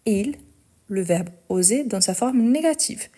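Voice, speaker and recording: A woman teaching French in a live lesson, dictating each sentence slowly and patiently explaining the conjugation rules. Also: mid-range voice, lively intonation, warm tone. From Portugal. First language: Portuguese.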